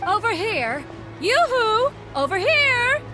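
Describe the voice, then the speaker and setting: A woman's voice: very high-pitched, sing-song, slow, full character voice. A woman voicing a caring, self-assured auntie mentor in a video game, with formal, old-fashioned phrasing. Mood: calling out. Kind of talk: video game character acting. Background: music.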